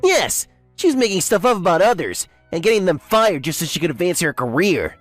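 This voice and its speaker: Low-pitched, swooping scheming office villainess played by a woman. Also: very animated, a little fast, no echo, faint music behind. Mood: smug, mocking. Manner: smug, taunting. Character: scheming, gloating female office villain, mockingly sweet. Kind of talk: English manga recap dub acting.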